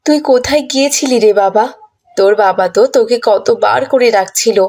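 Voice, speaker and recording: A woman acts as a fretful, loving village housewife in a cartoon. Her voice is fairly high with a husky grain, and she speaks in a motherly, fussing way. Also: normal speed, darkish tone. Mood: tender. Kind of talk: cartoon voice acting.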